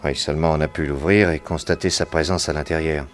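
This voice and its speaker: Casting: a deep-voiced private detective, composed, probing, deliberate, for a radio mystery play with light music behind. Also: male voice, fairly even intonation. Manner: measured, deliberate questioning. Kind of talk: French radio detective drama acting.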